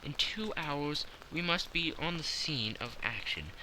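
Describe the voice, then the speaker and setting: Man playing a commanding detective, sharp and bright. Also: a little high, expressive, unhurried, a little hiss or hum, exaggerated voice, low-quality recording. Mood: commanding, precise. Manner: precise, instructing, authoritative. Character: commanding, keen-minded male detective, briskly self-assured. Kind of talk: audiobook character dialogue.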